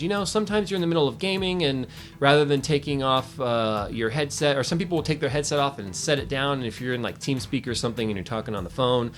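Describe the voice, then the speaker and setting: A man giving a casual, opinionated, conversational product review as host. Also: mid-range voice.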